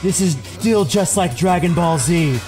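A man voicing a smug, suave hedgehog rival in an improvised comedy game fandub, sultry and deadpan. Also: sits high, a little slow. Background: game music.

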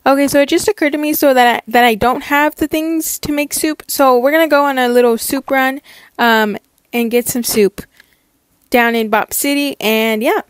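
A woman's voice: high voice, fairly even intonation, normal speed, smooth, no echo. A young woman narrating a vlog casually, in a chatty, informal way full of filler words. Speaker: vlogger.

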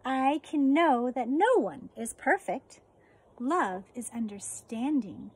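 An American woman in her forties, a teacher reading a children's picture book aloud outdoors with deliberate, expressive phrasing. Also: high-pitched, a little hiss or hum. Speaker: elementary school teacher reading a story. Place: outdoors on a residential deck.

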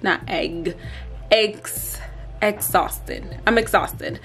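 A woman's voice: medium pitch, extremely slow, fairly bright tone, faint music behind. A woman in her thirties chatting casually and tiredly to camera in a bedroom vlog, with lots of ums and likes. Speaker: vlogger. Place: bedroom at home.

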